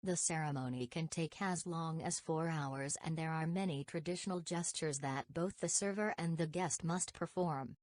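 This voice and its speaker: A woman with a distinctly low voice reads prepared text aloud. Her delivery is measured and formal, like a model answer in a language test. The sound is very dry and close, free of room echo. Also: a little mellow. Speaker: narrator of English test read-aloud examples. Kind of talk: scripted read-aloud narration.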